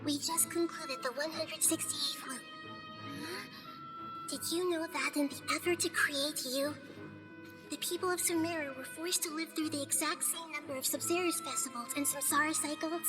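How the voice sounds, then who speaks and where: A woman playing a composed, wise young goddess in a video game, speaking in a measured, thoughtful and articulate way. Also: high-pitched, fairly even intonation, natural voice. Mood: quietly confident. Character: small, composed, wise young goddess of wisdom, gentle but firm. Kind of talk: English video game cutscene voice acting. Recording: music playing behind the voice.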